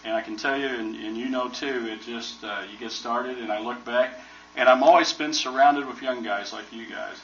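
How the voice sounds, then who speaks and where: An older American man spins folksy, joking stories in a bright, forceful voice. He sounds far from the mic in a roomy museum hall, and the recording is dull and muffled. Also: mid-range voice, normal speed, a little hiss or hum. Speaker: retired army helicopter pilot speaker. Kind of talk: casual museum talk with Q&A.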